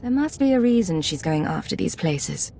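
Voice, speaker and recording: A cool female spy with a fairly low voice. She is self-assured and controlled, coolly persuasive, in the manner of video game drama. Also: very animated, normal speed, gravelly, dark tone, a little hiss or hum. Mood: cool, wry.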